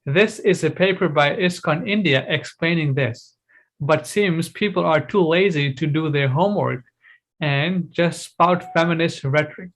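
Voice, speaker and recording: A man's voice: on the high side, a little slow, a bit bright. A man hosting a live online discussion, reading a post aloud steadily and giving short replies. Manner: brief agreeing replies, then reads text aloud steadily. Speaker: host. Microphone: livestream.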